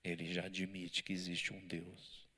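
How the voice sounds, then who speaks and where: A man, a pastor, teaching a Bible study in church with animated, lively delivery and banter with his listeners. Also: low-pitched, unhurried, slightly rough.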